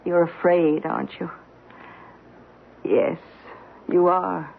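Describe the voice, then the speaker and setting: An actress playing a bitter, proud, middle-aged wronged wife in an old-time radio drama, speaking in an articulate, cutting, deliberate way. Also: female voice, on the low side, steady tone, vocal fry, heavily acted. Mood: cold, scornful. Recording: tinny, noisy old radio recording.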